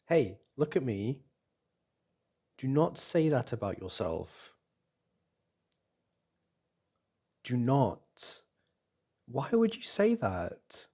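A young man playing a caring, reassuring boyfriend in an intimate ASMR roleplay, speaking gently and haltingly with sighs and restarts. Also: medium pitch, big pitch swings, slightly rough, heavily acted. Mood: earnest, insistent. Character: caring, reassuring boyfriend comforting his upset partner. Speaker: audio roleplay performer.

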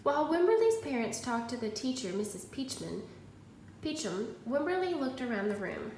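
A woman with a high, very animated voice talks to young children like a teacher at storytime. She is expressive and clearly instructive. The room adds noticeable echo around her. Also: moderate pace. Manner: expressive, instructive, prompting listeners with questions. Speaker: primary school teacher. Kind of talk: picture-book read-aloud for young children. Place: indoors in a room at home. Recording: roomy echo, faint background noise.

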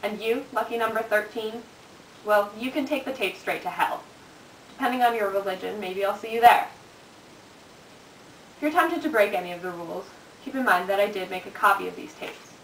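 A woman's voice: mid-range voice, echoey room, natural voice. A teenage girl acting as a bitter, sardonic dead girl narrating confession tapes in a student film. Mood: darkly sardonic. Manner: deliberate, sardonic, dramatic delivery. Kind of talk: scripted dramatic monologue for amateur student film. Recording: hissy, distant amateur room recording.

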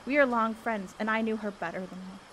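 A woman's voice: on the high side, fairly quick, a bit bright, close to an everyday voice. A woman playing a determined young woman seeking the truth in ancient Greece, speaking boldly and earnestly in an audio drama. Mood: passionate, challenging. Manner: bold, earnest, declamatory. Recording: faint background noise.

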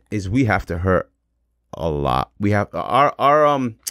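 A man's voice: lowish voice, big pitch swings, takes it slowly, a bit bright, dry recording, studio quality. A man hosting a podcast in casual, opinionated chat, emphatic and often repeating his words and phrases. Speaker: podcast host.

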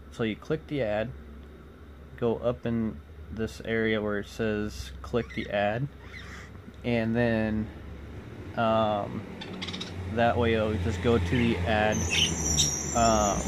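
A man in his forties chatting casually to viewers in a halting, rambling vlog, recorded on a phone indoors. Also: lowish voice. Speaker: vlogger. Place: small cluttered room indoors. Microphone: phone at arm's length. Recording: noisy phone recording, clear background noise.

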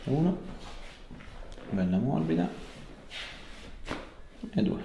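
A man's voice: medium pitch, very animated, takes it slowly, creaky, muffled recording, a little hiss or hum. A man, working as a chiropractor and giving informal, short coaxing instructions to a patient. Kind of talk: hands-on clinical instructions and casual chat with a patient. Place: small indoor clinic treatment room. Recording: echoey small treatment room.

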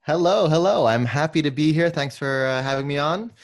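A young man who grew up in the Philippines, talking about his film work in a relaxed, chatty way with frequent ums and restarts, over a video call from his bedroom. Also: on the high side, fairly quick. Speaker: guest filmmaker. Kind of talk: casual interview conversation. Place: bedroom at home.

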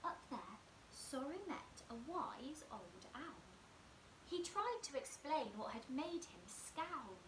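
Young female children's storyteller, animated and slow-paced, high voice, echoey home room with hiss. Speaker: host of bedtime story readings. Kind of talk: expressive children's storybook read-aloud. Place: small indoor room at home. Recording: roomy echo, clear background hiss.

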